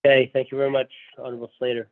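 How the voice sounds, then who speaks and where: A man chairs a meeting in a courteous, formal tone at a medium pitch. He sounds thin and a little distant, as on a phone line. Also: flat delivery, very fast.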